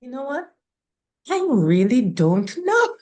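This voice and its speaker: Slow-speaking Trinidadian older woman. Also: sits high, sing-song, darkish tone. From Trinidad and Tobago. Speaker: guest. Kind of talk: interview conversation.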